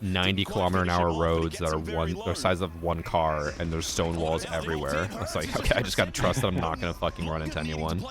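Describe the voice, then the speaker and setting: A man's voice: low voice, little pitch movement, quick pace, hardly any pauses. A man hosting a casual watch-party stream, telling anecdotes and laughing through them. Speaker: stream host.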